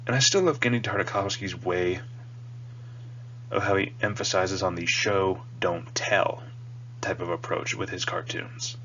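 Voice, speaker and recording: A man with a low voice, a bit nasal and sniffly from a cold, hosts a casual review. He talks in an earnest, emphatic, wordy way. The recording is dull and lo-fi, with a faint hum behind him. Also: unhurried, bright, forceful tone. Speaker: video host reviewing a cartoon. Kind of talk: casual rambling review to the audience. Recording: dull lo-fi mic with audible hum.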